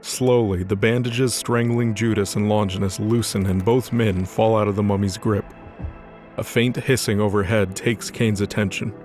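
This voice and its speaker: A man narrates a dramatized fantasy tale from a script. His voice is on the low side, with music playing softly behind it. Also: fairly even intonation, moderate pace.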